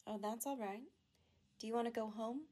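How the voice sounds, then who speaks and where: A woman playing a considerate telephone office supervisor in an old-time radio drama, composed and quietly directive. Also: fairly high pitch, steady tone, normal speed, lightly acted.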